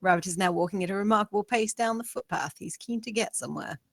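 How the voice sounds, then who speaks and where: A woman's voice: mid-range voice, fast, creaky, no room sound. A woman reading out screenplay stage directions as scripted narration.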